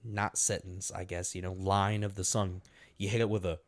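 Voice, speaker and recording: A young man co-hosting a podcast, talking casually into a close mic. His voice sits a little low, and he laughs easily and trips over his restarts. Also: little pitch movement, moderate pace. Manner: laughs often, restarts sentences. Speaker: podcast co-host. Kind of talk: casual chat.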